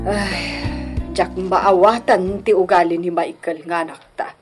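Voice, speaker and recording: A woman's voice: fairly low pitch, steady tone, a little slow, music in the background, natural voice. A woman acting an older, meddling mother-in-law who scolds and complains in a radio drama. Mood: wounded, plaintive. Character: meddling elderly mother-in-law, reproachful and self-righteous.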